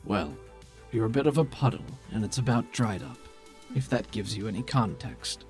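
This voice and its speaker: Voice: medium pitch, animated, moderate pace, a little mellow, soft background music, natural voice. A game master playing a cool, businesslike female contact who speaks in a dry, formal, wry manner.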